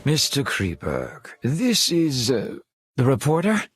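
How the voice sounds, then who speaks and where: An actor playing a formal, deferential manor butler in a video game, courteous and measured in his speech. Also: medium pitch, huge pitch swings, a bit bright, soft background music, exaggerated voice. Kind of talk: scripted game dialogue.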